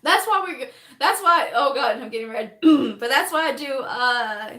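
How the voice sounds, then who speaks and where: A young woman, chatting on a phone livestream while doing her makeup, rambling fast, laughing often and losing her voice. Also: sits high, lively intonation, pushed and bright, echoey room. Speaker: livestreaming vlogger.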